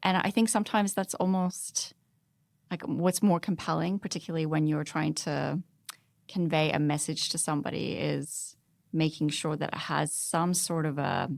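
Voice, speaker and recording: A woman with a low voice and plenty of vocal fry chats on a podcast panel. She is recorded dry, and her delivery is conversational. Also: steady tone, normal speed, no echo. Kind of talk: casual panel discussion.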